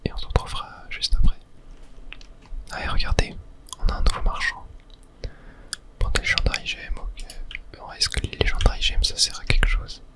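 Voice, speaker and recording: Casting: whisperer for ASMR gaming, crisp bright whisper, unhurried murmured play-by-play. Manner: soft whispering throughout, relaxed murmured thinking aloud. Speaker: video game player and host. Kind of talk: whispered ASMR gameplay commentary.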